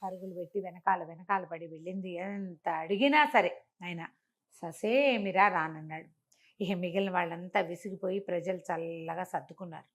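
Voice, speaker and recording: Animated woman storyteller. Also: fairly low pitch, normal speed. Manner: conversational, acts out dialogue, asks rhetorical questions. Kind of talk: animated oral telling of a moral folk tale.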